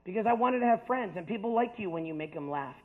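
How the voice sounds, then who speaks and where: A man's voice: sits high, animated, fast talker, phone-quality sound. A man in his fifties from the United States giving a personal testimony to an audience, earnest and chatty, often checking in with "right?". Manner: earnest storytelling with frequent "like" and "right?".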